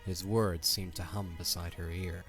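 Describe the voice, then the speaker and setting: A deep-voiced man narrates a story over faint music. His delivery is measured and solemn, as the narrator of an audio drama. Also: level tone, fairly bright tone.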